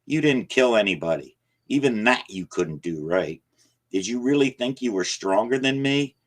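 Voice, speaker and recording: A man's voice: medium pitch, animated, moderate pace, voice pushed up, lightly acted. A man voicing an ancient, malicious shadow spirit that gloats and threatens. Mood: gloating. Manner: gloating, menacing.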